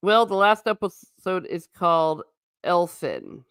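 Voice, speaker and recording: A woman's voice: lowish voice, big pitch swings, unhurried, fairly bright tone, clean tone, no room sound, clean studio sound. A woman hosting a casual livestream, reading out chat comments and answering them in a chatty, wry and tangential way, often restarting her sentences. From United States.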